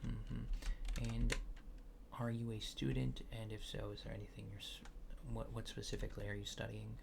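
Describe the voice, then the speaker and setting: A man doing soft-spoken, partly whispered ASMR, playing a laid-back alien abductor asking casual, hesitant survey questions in a deadpan way. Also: fairly low pitch, normal speed, vocal fry, heavy background noise. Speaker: ASMR creator.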